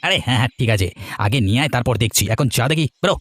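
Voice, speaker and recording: A man's voice: medium pitch, animated, racing, fairly bright tone, dry recording. A man playing a rude, greedy, scornful village handyman uncle in a cartoon, brusque and repeating words impatiently. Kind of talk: Bengali cartoon voice acting.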